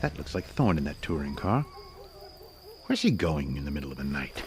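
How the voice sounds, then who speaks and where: A man's voice: very deep voice, sing-song, moderate pace, background noise, full character voice. A man playing a plucky, wary young amateur sleuth with breezy old-time film talk in an audio drama. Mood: wary, muttering. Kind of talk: audio drama re-creation of 1930s mystery film.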